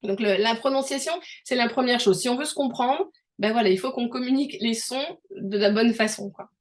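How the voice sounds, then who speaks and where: A woman's voice: fairly high pitch, a little fast. A middle-aged woman from France talking casually in an interview, chatty and full of restarts as she explains with examples. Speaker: host.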